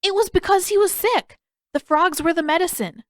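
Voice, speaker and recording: A woman's voice: extremely high pitch, moderate pace, dry recording, studio quality, voice pushed up. A woman voicing an earnest, sheepish young boy in an audiobook, hesitant and a little defensive. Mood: insistent.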